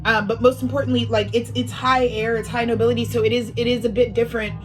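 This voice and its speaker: Slightly high-voiced woman, a bit sniffly, rambly spiritual talk w/ lots of likes and cussing, faint music behind. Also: level tone, fast talker, low-quality recording. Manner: rambling, filler-heavy, swearing freely. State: a little sniffly. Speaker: spiritual astrology speaker. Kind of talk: casual spiritual monologue.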